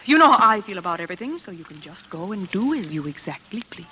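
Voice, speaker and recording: An actress playing a jealous, vain, dramatic wife in a theatrical, self-pitying 1940s radio style. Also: female voice, mid-range voice, very animated, fast, sharp tone, a little hiss or hum, low-quality recording. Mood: jealous, bitter. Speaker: lead actress and first-person narrator. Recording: old 1940s radio recording with music.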